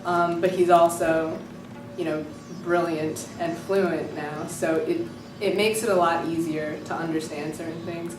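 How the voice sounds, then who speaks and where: A woman's voice: fairly low pitch, fairly even intonation, unhurried, hardly any pauses. Chatty young woman student, echoey. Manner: enthusiastic, chatty with many fillers. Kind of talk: interview, casual reflection. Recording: echoey room from far off, music behind.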